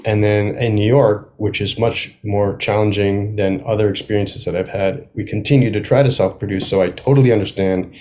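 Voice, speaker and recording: A middle-aged man speaking reflectively as a guest playwright in an interview, thoughtful and halting, often circling back to rephrase. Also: lowish voice, fairly even intonation, darkish tone.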